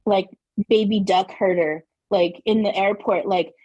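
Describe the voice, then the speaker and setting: A woman from Southern New Mexico whose first language is Spanish, telling family stories as a podcast guest in an animated way, laughing often and saying "like" a lot. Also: medium pitch, unhurried, husky, dry recording, dull audio. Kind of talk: casual interview storytelling.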